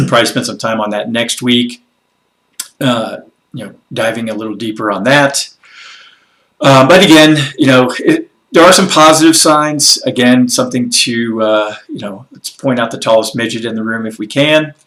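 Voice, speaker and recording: A man's voice: medium pitch, a bit bright. Congested, rambling man. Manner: rambling, with frequent fillers and blunt asides. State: slightly congested and froggy after a workout. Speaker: financial advisor hosting a weekly market recap. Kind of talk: casual solo commentary on news and markets.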